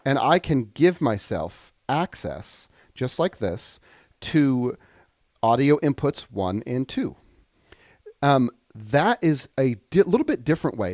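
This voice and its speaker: A man explaining software in an unscripted tutorial, thinking aloud and halting as he goes. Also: medium pitch, no echo, studio quality. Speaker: software trainer. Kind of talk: unscripted screen-share tutorial.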